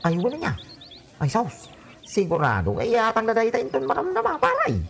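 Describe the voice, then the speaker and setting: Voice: medium pitch, wildly swooping pitch, a little fast, creaky, fairly bright tone, a little hiss or hum, full character voice. A performer playing a boisterous, scheming villager in an Ilocano radio comedy drama, with exaggerated exclamations. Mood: boisterous, excited.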